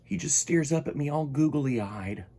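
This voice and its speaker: A man hosting story time, reading a children's picture book aloud in an expressive, emphatic way and talking directly to young listeners. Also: fairly high pitch, a little slow, breathy edge.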